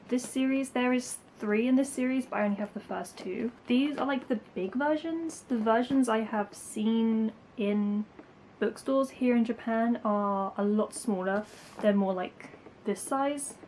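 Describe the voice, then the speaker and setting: A young woman chats casually to her camera about her studies, her talk full of likes and ums. Her voice sits at an ordinary middle pitch, recorded at home with a faint hiss underneath. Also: darkish tone, poor audio. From England. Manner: chatty, lots of likes and ums, light laughs. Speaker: language-learning YouTuber.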